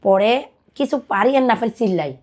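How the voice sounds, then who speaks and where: A woman's voice: fairly high pitch, expressive, normal speed, a little mellow. A young Bangladeshi woman in her twenties, a podcast guest telling her own life story in conversation and retelling what people said to her. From Dinajpur, Bangladesh. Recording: music under the voice. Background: background music.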